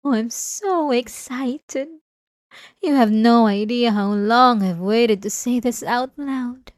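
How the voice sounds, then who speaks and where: A woman's voice: fairly high pitch, takes it slowly, no room sound, studio quality. A woman playing an obsessive, possessive centuries-old vampire in an ASMR roleplay, speaking in a theatrical, silky, menacingly tender way. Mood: possessive, excited.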